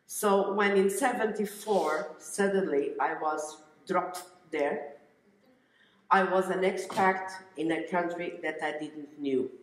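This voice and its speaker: A woman in her fifties from Angola, speaking English on a conference panel, deliberate and careful, with phrasing. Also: fairly low pitch. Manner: slow and deliberate, non-native phrasing. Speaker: relocation consultant on a conference panel. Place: large conference hall stage. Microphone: headset mic. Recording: roomy hall with long reverb.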